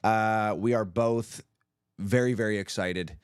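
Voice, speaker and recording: A man hosting his own livestream, a musician chatting casually with viewers, upbeat and hyped, his voice a little raspy from screaming the night before. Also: lowish voice, fairly even intonation, a little slow, dry recording, studio quality. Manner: upbeat, hyped, talks to the chat.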